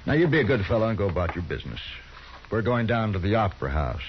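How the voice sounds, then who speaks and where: A sneering gang leader in an old radio western, deep-voiced and swinging his pitch with mock friendliness. His patronizing threats come through a dull, hissy 1950s broadcast. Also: big pitch swings, fast. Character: smug menacing male gunman leading a gang. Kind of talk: 1950s radio drama acting.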